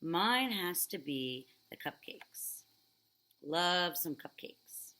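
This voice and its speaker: Animated woman reading to children. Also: on the low side, drawn-out words. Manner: child-directed, questioning, expressive. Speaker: teacher reading aloud to children. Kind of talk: picture book read-aloud with chatty asides.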